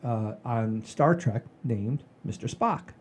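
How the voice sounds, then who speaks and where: A man's voice: mid-range voice, expressive, takes it slowly, slight background noise. A man in his sixties hosting a casual comedy chat show in a folksy, rambling, enthusiastic way. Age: 60s. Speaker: podcast host.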